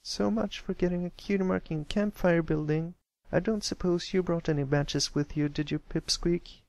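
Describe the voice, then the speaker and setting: A man's voice: sits high, fairly even intonation, normal speed, gravelly, darkish tone, no room sound, pitched above the performer's own voice. A man voicing a brash, bossy young pony filly with an impatient, complaining manner in a cartoon fan story reading. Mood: frustrated.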